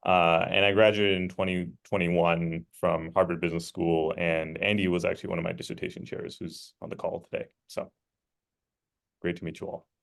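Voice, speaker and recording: Deep, gravelly man introducing himself. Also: flat delivery. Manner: measured, starting with "uh". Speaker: panelist, economist. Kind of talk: webinar self-introduction. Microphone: video call.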